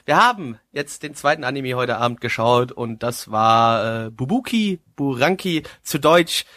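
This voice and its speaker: A man hosting a casual anime review chat, talking in a halting, joking, self-mocking way. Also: medium pitch, lively intonation, pushed and bright. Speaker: host of an anime review show.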